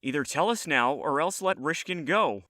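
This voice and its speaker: A stern, exasperated eldest brother among elves, acted by a man. He speaks formally in a bright, mid-pitched voice with wide swings of intonation, in a dryly recorded fantasy audio drama. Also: expressive, normal speed, no echo, clean studio sound.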